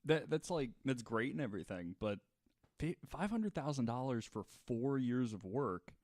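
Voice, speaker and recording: A man's voice: medium pitch, expressive, moderate pace, a little mellow, dry recording, clean studio sound. A man on a podcast panel making casual, informal, joking conversation. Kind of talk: casual banter.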